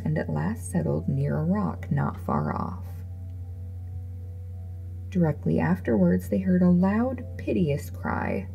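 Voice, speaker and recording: A woman's voice: fairly low pitch, vocal fry, lo-fi sound. A woman reading a classic fairy tale aloud as a scripted narration. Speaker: storyteller. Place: voice-over with music behind.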